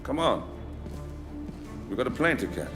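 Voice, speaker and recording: An actor playing a sardonic ally and narrator, easygoing and dry. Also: male voice, mid-range voice, sing-song, measured pace. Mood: wry. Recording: game cutscene over music.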